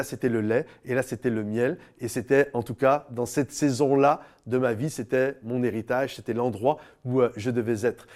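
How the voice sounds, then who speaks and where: A man's voice: mid-range voice, a little mellow. A man from France, speaking as an informal preacher and storyteller, emphatic, stretching key words and addressing listeners directly. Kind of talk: informal devotional talk with personal storytelling.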